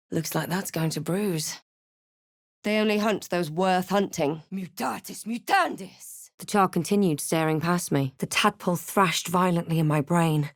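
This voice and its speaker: A woman voicing a snarky, brooding adventurer hero in a fantasy video game, deliberate and theatrical with dry wit. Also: lowish voice, dry recording. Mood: wry, snarky, then incantation, intense. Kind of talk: fantasy video game voice lines, narration and barks.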